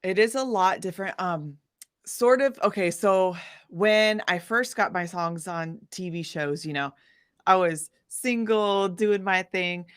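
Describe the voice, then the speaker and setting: A woman musician telling her story casually in an interview, with an animated, informal manner. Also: mid-range voice, a little slow, clean tone, no echo, studio quality. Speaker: guest musician, singer-songwriter.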